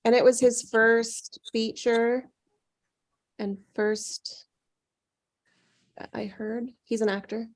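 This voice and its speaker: A woman chatting casually in a group, tentative and thinking out loud as she goes. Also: on the high side, level tone, slow pace, long pauses. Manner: tentative, musing aloud.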